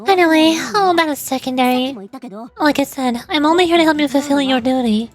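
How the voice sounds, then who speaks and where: A very high-voiced, proud lady shaman from a fantasy game, acted out by a woman. She is prickly and easily flustered, speaking over music. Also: brisk, natural voice. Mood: defensive. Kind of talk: game dialogue read aloud.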